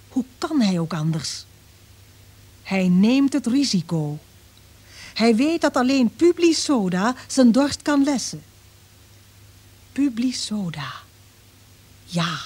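An actress in a Dutch radio play playing an enticing commercial announcer, speaking deliberately in slogans. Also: female voice, a little high, darkish tone, breathy edge, full character voice. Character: suggestive female radio advertising announcer. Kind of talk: slow slogan-style commercial read.

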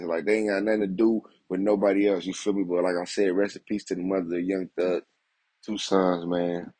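A young man talks through the news in a casual, streetwise vlog style, tagging "you feel me" onto nearly everything he says. His voice sits a little low and comes across husky. The recording is dry and close, with no room sound around it. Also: darkish tone, no room sound, poor audio. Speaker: news commentary host.

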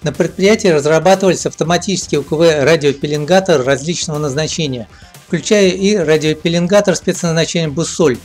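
A man reading a scripted voice-over report, steady and measured, going through long technical lists. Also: a little high. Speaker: narrator of expo video report. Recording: voice-over with faint background music.

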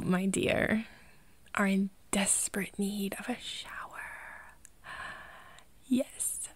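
A woman's voice: fairly high pitch, a bit bright, dry recording. A woman playing an affectionate, teasing girlfriend cheering on her man in a playful, encouraging ASMR roleplay. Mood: playful, coy. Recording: music behind the voice.

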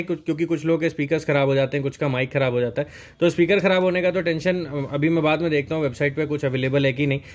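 A young man doing a casual, explanatory unboxing narration, mixing English words into his speech. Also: a little high. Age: young adult. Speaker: product reviewer at a riding gear store. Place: indoors in a helmet and riding gear store. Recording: noticeable background noise, store ambience.

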